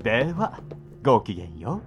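A man's voice: mid-range voice, wildly swooping pitch, normal speed, dull audio, over music. A man acting a playful, mock-polite sadistic torturer in an amateur radio drama. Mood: coldly polite. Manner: sing-song mock politeness with giggling.

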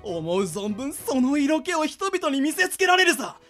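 A man's voice: extremely high pitch, sing-song, normal speed, a bit bright, soft background music, heavily acted. A man playing an ambitious, theatrical monster boss in a radio drama, rousing his followers like a speechmaker. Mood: persuasive. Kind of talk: anime-like radio drama acting.

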